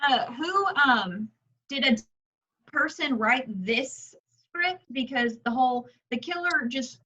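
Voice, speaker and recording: A woman with a bright, fairly high voice talks casually in a group. She is blunt, incredulous and swears freely, on dull lo-fi audio. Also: lively intonation, slow pace. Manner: blunt, foul-mouthed, incredulous.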